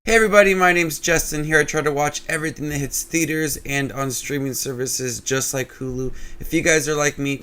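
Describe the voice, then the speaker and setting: A young man hosting his own movie review channel, talking casually in a low, flat way that he says can sound unenthusiastic.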